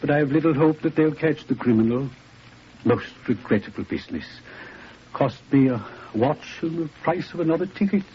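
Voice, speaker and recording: A man's voice: on the high side, lively intonation, moderate pace, close to an everyday voice. An actor playing a dignified elderly clergyman in 1940s radio style, mild, regretful and hesitant, in clipped phrases. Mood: fussy, resigned. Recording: muffled noisy 1940s radio recording.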